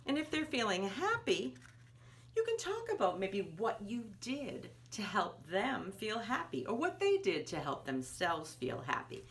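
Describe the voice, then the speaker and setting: A woman's voice: medium pitch, wildly swooping pitch, unhurried, a bit bright. A woman presenting a children's storytime, patiently explaining a craft step by step in simple words to parents of young children. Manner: simple patient explaining, often refers to herself in third person.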